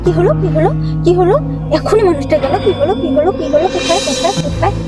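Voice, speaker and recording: Woman doing a super high squeaky village wife doll, dramatic n fretful, rural bangladeshi accent, loud music behind. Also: quick pace. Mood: panicked, wailing. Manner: wailing, repetitive, exclamatory. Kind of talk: high-pitched puppet-cartoon dialogue.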